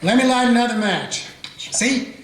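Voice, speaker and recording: Guy voicing a level-headed old west sheriff, kinda high voice, echoey room. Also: animated, unhurried, a bit bright, exaggerated voice. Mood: steady. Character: small-town Old West sheriff, steady and dry. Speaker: sheriff and narrator. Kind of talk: radio play acting.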